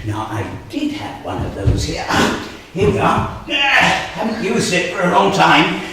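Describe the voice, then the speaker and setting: A man's voice: sits high, huge pitch swings, a little slow, vocal fry, heavily acted. Guy doing a muttery eccentric old wizard, grumpy but gleeful, voice swoops around, super echoey room. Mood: musing. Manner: theatrical, muttering to himself, chuckling. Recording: very echoey room, distant mic, noisy.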